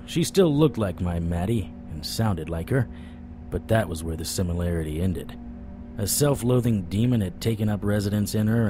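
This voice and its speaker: Guy playing a deep sardonic noir detective who hunts vampires, dramatic audio drama thing w music behind. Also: close to an everyday voice. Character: hard-boiled, wisecracking male vampire-hunting private eye. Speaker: narrator and lead actor. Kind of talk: first-person noir audio drama narration. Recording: music bed under the voice.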